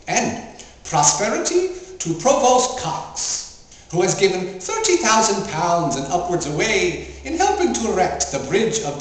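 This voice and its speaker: A man performing a theatrical poetry recital in a grandiose, declamatory, mock-solemn manner. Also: sits high. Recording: very echoey, distant mic, faint noise.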